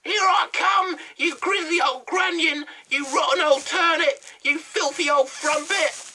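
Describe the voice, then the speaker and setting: A man voicing a nasty old wife in a children's storybook, in a shrieking falsetto, gasping and screaming. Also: unhurried, pushed and bright, exaggerated voice. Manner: screeching, furious, gasping.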